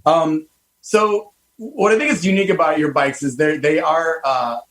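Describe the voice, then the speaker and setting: A man in his fifties hosts a casual talk radio chat with an animated, enthusiastic delivery. He stops and restarts often, and his voice sits slightly higher than most men's. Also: moderate pace, low-quality recording. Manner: enthusiastic and animated, many fillers. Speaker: host, car designer.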